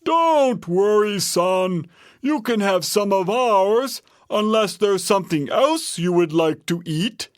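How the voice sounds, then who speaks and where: A storyteller voicing a gruff, bossy, grumbling father bear in a children's storybook tale. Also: mid-range voice, lively intonation, slow, dry recording, clean studio sound, voice pushed up. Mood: reassuring.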